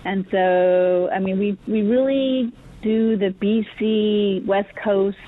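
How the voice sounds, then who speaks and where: A woman guest on a radio call-in show, describing her fishing lodge with eager, halting speech full of fillers, her voice slightly worn. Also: mid-range voice, steady tone. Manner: enthusiastic, halting with many fillers. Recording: tinny phone line.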